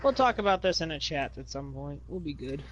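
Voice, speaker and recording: A man's voice: a little high, animated, fairly quick, dull audio, background noise. A man joking and rambling casually with friends in a party chat.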